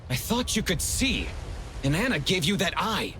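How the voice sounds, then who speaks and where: An actor playing an excitable, loyal young hunting partner in a game, speaking emotionally and protectively. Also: male voice, high voice, normal speed, a little hiss or hum, full character voice. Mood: defensive. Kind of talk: game character dialogue.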